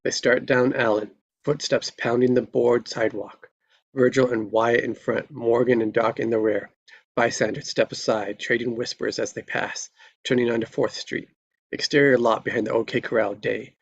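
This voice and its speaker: A man with a creaky, gravelly voice at a middle pitch reads screenplay action as narrator. The recording is somewhat dull and lo-fi. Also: level tone, moderate pace. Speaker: narrator reading stage directions. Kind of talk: scripted narration at a live table read.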